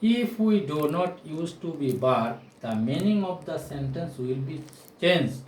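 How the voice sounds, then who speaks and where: A man teaches a grammar lesson as a non-native English speaker, in a fairly high voice. He speaks slowly and deliberately, repeating key phrases and posing questions to learners. The sound comes from some distance away from the microphone. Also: animated, a little hiss or hum. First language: not English. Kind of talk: whiteboard grammar lesson, explanatory with rhetorical questions. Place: small indoor room with bare walls, at a whiteboard. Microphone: camera or phone mic a few steps away. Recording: roomy echo, distant camera microphone.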